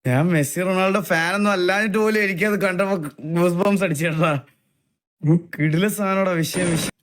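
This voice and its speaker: High-voiced young Malayali man reacting casually. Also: brisk, music in the background. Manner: animated slangy exclamations, repeats phrases. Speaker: reaction streamer. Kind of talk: casual live reaction chat.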